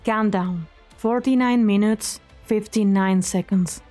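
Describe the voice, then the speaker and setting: A woman reading scripted dramatic story narration in a measured, solemn manner. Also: mid-range voice, warm tone. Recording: background music under the voice.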